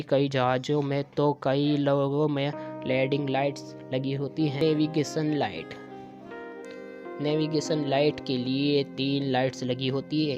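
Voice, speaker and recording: A man reads a scripted explainer narration in short phrases, stumbling now and then. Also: medium pitch. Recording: dull lo-fi voice track. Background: music with guitar.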